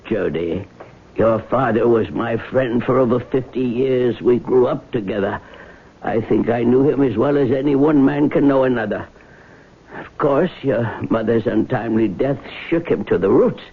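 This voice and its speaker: Gravelly, formal old lawyer. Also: male voice, medium pitch. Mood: solemn, blunt. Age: older. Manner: formal, measured. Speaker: radio drama actor. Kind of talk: 1970s radio drama acting. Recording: narrow phone-like old radio broadcast.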